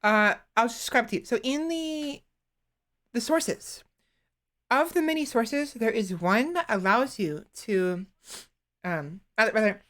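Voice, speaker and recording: A woman streamer chatting casually into a desktop microphone, rambling and halting, often restarting her sentences and poking fun at herself. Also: on the high side, animated, a bit bright. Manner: self-deprecating. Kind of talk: casual live-stream chat.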